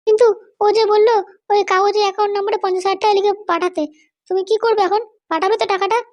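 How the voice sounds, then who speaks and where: A man voicing a bossy, short-tempered middle-aged cartoon wife with a West Bengal accent and a pitched-up voice. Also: fairly even intonation, fast talker, no room sound, studio quality. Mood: insistent. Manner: scolding, threatening. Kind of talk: comic cartoon dialogue.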